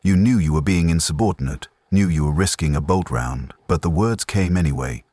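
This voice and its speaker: A man narrating a scripted dramatic story in a grave, deliberate manner. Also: deep voice, darkish tone, breathy edge, no echo.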